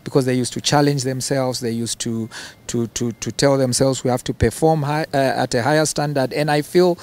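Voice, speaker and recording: A man's voice: medium pitch, steady tone, fairly quick, a little mellow. Hesitant man in interview. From Zambia. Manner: hesitant, repeats words, chuckles between phrases. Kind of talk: casual interview answers.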